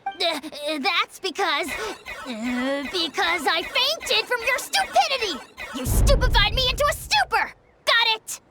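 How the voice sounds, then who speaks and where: A woman voicing a small, excitable, easily flustered child-like character in a video game. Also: extremely high pitch, unhurried, loud music behind the voice. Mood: flustered, blustering. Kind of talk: animated video game voice acting.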